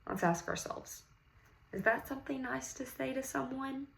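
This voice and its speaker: A woman reading a picture book aloud in an encouraging, teacherly manner, pausing to put questions to young listeners. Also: high voice, vocal fry, a little hiss or hum, poor audio. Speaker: read-aloud host, teacher-like reader.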